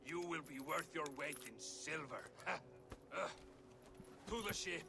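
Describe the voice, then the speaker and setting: An actor playing a gloating, threatening Viking slaver with a rough voice. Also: male voice, a little high, a little slow, noisy background. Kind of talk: video game cutscene acting.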